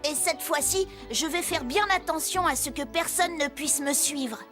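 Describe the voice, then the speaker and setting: A high-pitched, friendly young tractor played by a woman from a children's cartoon. He sounds eager and kindly, a born helper, with music playing behind him. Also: normal speed, lightly acted. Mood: kindly, reassuring. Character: cheerful, helpful young talking tractor, male.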